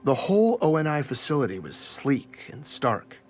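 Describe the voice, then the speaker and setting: Nervous journalist-narrator, mid register, self-conscious, for a sci-fi audio drama. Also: male voice, medium pitch, sing-song. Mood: measured, uneasy. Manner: measured narration, hesitant in dialogue. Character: nervous male investigative journalist narrating his own story. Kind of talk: scripted first-person audio drama narration.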